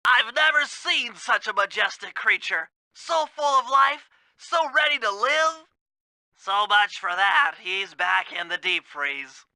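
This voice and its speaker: A man's voice: high-pitched, lively intonation, unhurried, bright, forceful tone, no room sound, full character voice, poor audio. A man voicing a fussy, brainy gadget expert in a video game, speaking in a precise, formal, instructive way. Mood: awed, then deflated. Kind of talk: video game mission dialogue.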